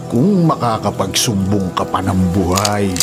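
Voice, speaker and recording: An actor with a Filipino accent playing a ruthless, defiant illegal logger and domineering husband in a Tagalog radio drama, menacing and scornful. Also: male voice, on the low side, lively intonation, unhurried. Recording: dramatic music behind the voice. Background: music.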